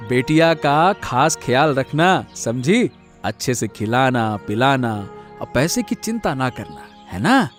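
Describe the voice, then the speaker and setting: A man's voice: mid-range voice, very animated, takes it slowly, soft background music. A man voicing a poor, honest village labourer and devoted father in a cartoon, earnest and repetitive in his speech. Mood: hopeful, caring. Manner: stammering, pleading, repeats words. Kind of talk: Hindi moral-story cartoon voice acting.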